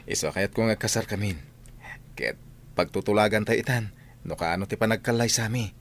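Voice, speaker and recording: A man's voice: on the low side, fairly quick, a little hiss or hum, natural voice. A man playing a polite, earnest young suitor in a comedy radio drama, speaking respectfully. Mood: earnest, pleading.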